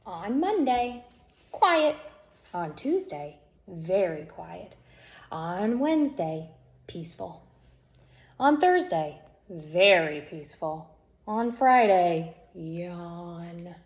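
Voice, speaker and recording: A woman narrates a children's story with dramatic phrasing. She takes her time and varies her pitch widely. The recording is echoey, with a little background noise. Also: sing-song, drawn-out words. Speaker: storyteller reading a picture book aloud. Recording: echoey room with faint noise.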